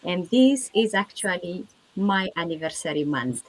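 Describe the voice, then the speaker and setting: A woman from Ethiopia speaks as a guest on a live video call. She tells her story in a clear, composed way and repeats key points for emphasis. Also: lowish voice, lively intonation, unhurried, dry recording. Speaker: guest business owner. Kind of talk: live online testimony.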